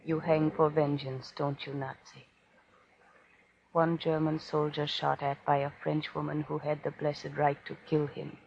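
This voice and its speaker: A woman's voice: sits low, flat delivery, a little slow, gravelly, darkish tone. An actress playing a defiant, scornful condemned French woman, speaking with taunting deliberation in a 1940s radio horror drama. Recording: dull, noisy old radio recording.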